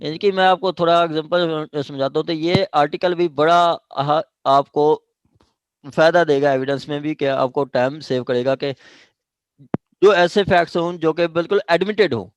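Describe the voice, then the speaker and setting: Male law lecturer, Pakistani accent, slightly high voice, dry recording, didactic, checks understanding often. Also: normal speed, clear, resonant tone, dry recording. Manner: didactic, punctuates points with check-in questions. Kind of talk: lecture explaining statute law section by section.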